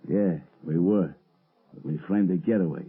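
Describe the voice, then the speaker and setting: A man's voice: sits low, moderate pace, breathy edge. An actor playing a threatening gangster and escaped convict with blunt, slangy tough-guy talk in an old radio drama. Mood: hard, pushy. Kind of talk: 1930s radio drama acting. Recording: muffled, narrow old radio recording.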